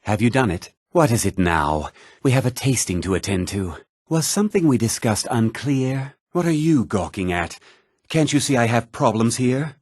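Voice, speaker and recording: A man's voice: medium pitch, animated, moderate pace, a little mellow, slightly rough, no room sound, studio quality. A man playing a fussy, irritable and self-important meadery owner in a video game, haughty and theatrically exasperated. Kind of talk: video game character dialogue.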